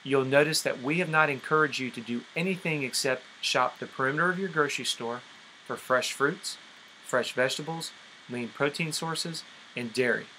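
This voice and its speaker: A man with a bright, forceful voice leads a group conference call as a fitness coach. He explains things step by step and often checks that listeners follow. There is faint noise on the recording. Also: medium pitch, normal speed, poor audio. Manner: explanatory, checks understanding with frequent okay. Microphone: conference call line.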